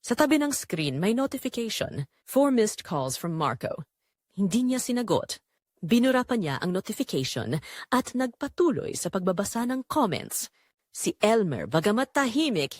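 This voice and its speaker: A Filipino woman narrates a scripted drama story. Her mid-pitched voice moves up and down in an animated way, and the sound is dry and close, with little room around it. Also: normal speed, no room sound.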